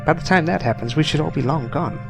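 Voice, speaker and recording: A man playing a brisk, guarded rebel organizer in an audio drama. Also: fairly high pitch, vocal fry, over music.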